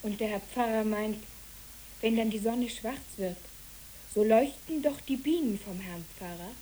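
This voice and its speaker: Schauspielerin als verträumtes Kind, helle Tonlage, weicher dunkler Klang, lyrisch, Hörspiel mit leichtem Rauschen. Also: female voice, on the high side, a little slow, dark tone, lightly acted, rough recording. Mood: dreamy. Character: dreamy, talkative child visiting the pastor. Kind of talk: German literary radio play acting. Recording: hissy old radio play recording.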